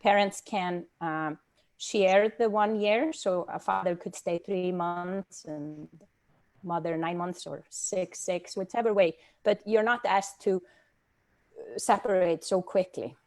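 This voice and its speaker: A woman's voice: lowish voice, slow pace, a little mellow. Thoughtful middle-aged woman from Iceland. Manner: thoughtful, hesitant with many fillers. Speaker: guest speaker. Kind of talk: casual personal talk.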